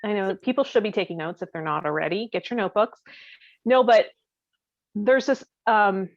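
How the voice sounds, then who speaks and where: A woman's voice: medium pitch, normal speed, no echo. A woman co-hosting a podcast in casual, comedic conversation, with a joking, bantering way of talking. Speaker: podcast co-host. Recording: dull, boxy, dry lo-fi audio.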